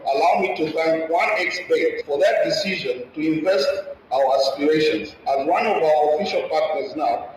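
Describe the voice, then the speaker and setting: A man with a Zambian accent delivering a formal prepared speech as a club chairman. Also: a little high. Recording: very echoey, distant, faintly noisy hall recording.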